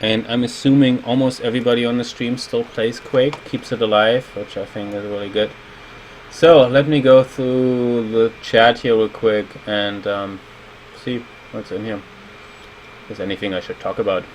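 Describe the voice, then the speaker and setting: A man in his thirties from Germany talks casually with a German accent, hosting a game livestream. His voice sits at an ordinary male pitch, with faint steady noise behind it from the room where he streams at his computer. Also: normal speed, low-quality recording. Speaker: level designer and livestream host.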